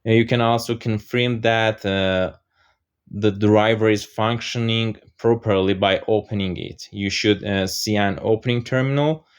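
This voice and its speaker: Male tutorial narrator, Persian native, lowish voice, steady step-by-step delivery with "uh" fillers. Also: a little slow, clear, resonant tone. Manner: steady explaining with frequent "uh" fillers. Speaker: software tutorial presenter. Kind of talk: screen-recorded software walkthrough.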